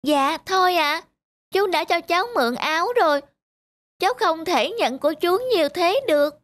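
A woman voicing a sweet, polite little boy in an animated moral tale, childlike and respectful. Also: very high voice, moderate pace, pitched above the performer's own voice. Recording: clear background music throughout.

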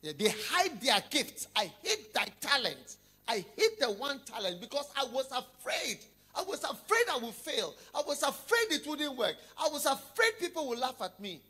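A middle-aged man preaches in a large hall through a handheld mic. His voice sits high and swoops around a lot, with a bright, slightly husky edge. He hammers points by repeating them. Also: sing-song, moderate pace. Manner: emphatic, repeats phrases, swings between hushed and loud. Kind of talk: sermon. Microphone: handheld microphone.

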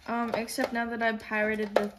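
A woman's voice: on the high side, level tone, normal speed. A female helper in a teardown video, chatting casually while she works. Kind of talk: casual chat while working. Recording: very noisy room, clicking tools.

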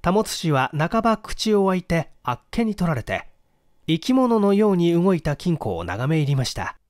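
A man narrating a mystery story in a measured, formal, dramatic storytelling manner, close on the mic. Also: fairly high pitch, big pitch swings, a little mellow, dry recording. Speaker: audiobook reader, stage actor. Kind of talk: scripted mystery story narration, literary reading.

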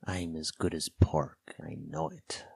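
A man voicing a half-crazed, sly and childish old marooned sailor in a storybook, with rustic dialect, drawn-out words and sudden excitable bursts. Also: sits low, no room sound, clean studio sound. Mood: fearful.

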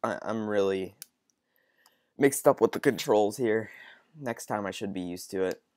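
A sniffly young man with a cold, rambling through casual game commentary with frequent ums and restarts. His voice sits a bit low and sounds close and dry. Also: a little slow, a little mellow, no echo. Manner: rambling, hesitant, lots of ums. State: stuffy with a cold. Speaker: gaming channel host. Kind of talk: casual let's-play commentary.

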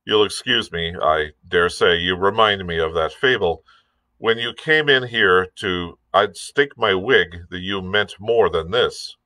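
Man voicing a calm, shrewd gentleman doctor. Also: sits low, unhurried, dry recording, low-quality recording. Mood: wry. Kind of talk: audiobook character reading.

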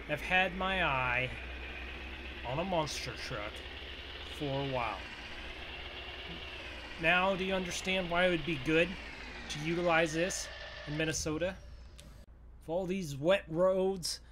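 A man improvising farmer roleplay for a gaming video, rambling in a folksy way as he thinks aloud. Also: fairly high pitch. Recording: noisy, vehicle engine sounds behind voice. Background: game engine and vehicle sounds.

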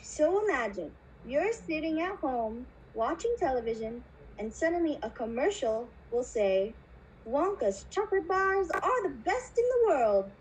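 Puppet show role: eccentric chocolate factory boss played by a woman, very high, chirpy, widely swooping voice. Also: a little slow, a little hiss or hum, rough recording. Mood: showy. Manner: theatrical, animated.

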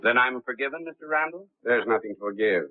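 A confident gentleman sleuth, as an actor plays him, brisk and assured with polite 1930s manners. His mid-pitched voice comes through a thin, phone-like old soundtrack. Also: lively intonation, close to an everyday voice. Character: confident gentleman amateur detective. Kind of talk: 1930s film acting. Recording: tinny old film soundtrack.

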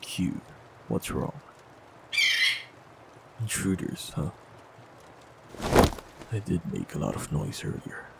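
A man voicing a warlock in an audio roleplay, talking calmly and reassuringly to the listener. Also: sits low, takes it slowly, creaky, pauses often, heavy background noise. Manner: calm, gentle, reassuring.

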